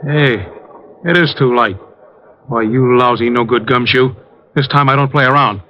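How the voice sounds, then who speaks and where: A man's voice: mid-range voice, moderate pace, lightly acted. A man playing a slangy, threatening hired gunman in an old-time radio crime drama. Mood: angry menace. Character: menacing, dim, trigger-happy male hired gunman. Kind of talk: 1940s-50s radio gangster acting. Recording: muffled vintage radio recording.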